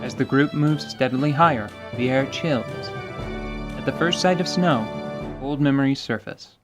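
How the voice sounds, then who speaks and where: A man tells a scripted fantasy tale for radio theater. His voice is mid-range and his delivery measured and descriptive. Faint music plays behind him. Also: medium pitch. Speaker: narrator.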